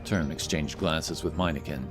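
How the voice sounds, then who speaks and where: A man's voice: very deep voice, fairly even intonation, normal speed. A man reading scripted fantasy narration dramatically, with music playing behind him.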